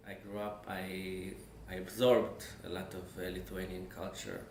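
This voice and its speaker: Low, gravelly Israeli man, halting speech. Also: little pitch movement, darkish tone. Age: 40s. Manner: halting, searching for words, repeats word beginnings. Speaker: interviewee sharing family history. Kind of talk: personal oral-history recollection. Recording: echoey room with faint background noise.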